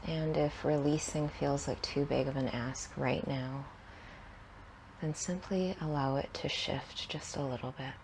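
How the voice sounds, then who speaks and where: A woman leading a guided meditation in a soothing, unhurried manner, leaving long pauses between short phrases, with soft, breathy endings. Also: sits low, fairly even intonation, slight background noise, poor audio.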